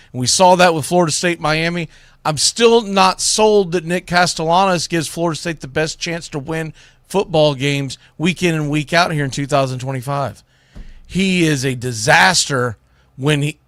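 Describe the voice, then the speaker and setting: Blunt male sports radio host, higher-pitched voice. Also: a bit bright, dry recording, studio quality. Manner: blunt, emphatic, mildly salty, folksy slang. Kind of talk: opinionated sports commentary, ranking teams.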